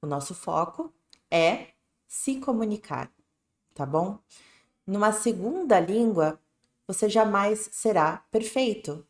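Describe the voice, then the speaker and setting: A woman's voice: mid-range voice, lively intonation, slow pace, a little mellow. A woman with a Brazilian Portuguese accent, teaching an English lesson on a live stream in a casual, direct way, often checking in with "né?" and "tá bom?". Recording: echoey room.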